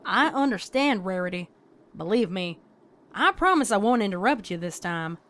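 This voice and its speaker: A woman's voice: mid-range voice, lively intonation, normal speed, a bit bright, natural voice. A woman voicing a folksy, plainspoken female country pony with a drawl in a fan fiction reading. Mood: sympathetic.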